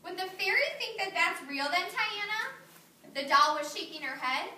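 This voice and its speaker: A woman kindergarten teacher reading a story aloud to her class, animated, praising and asking the children questions. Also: extremely high pitch, normal speed. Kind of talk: read-aloud to young children. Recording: echoey classroom, mic far off.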